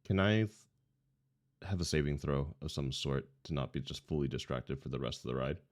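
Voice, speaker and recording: Extremely deep-voiced male game player. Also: brisk. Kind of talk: casual in-game roleplay chat.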